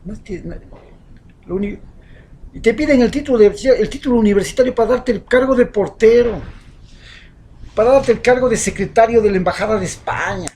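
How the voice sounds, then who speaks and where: A man with an Ecuadorian accent delivering an impassioned, rhetorical opinion monologue as a social commentator, swinging between loud outbursts and hushed asides. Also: high-pitched, a little fast, long pauses.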